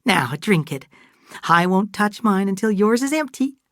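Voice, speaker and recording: A woman's voice: mid-range voice, lively intonation, a little slow, a bit bright, no echo, studio quality, pitched above the performer's own voice. A woman reading aloud and voicing a doting, insistent older woman who coaxes and fusses. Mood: coaxing. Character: affectionate older woman, motherly and pushy.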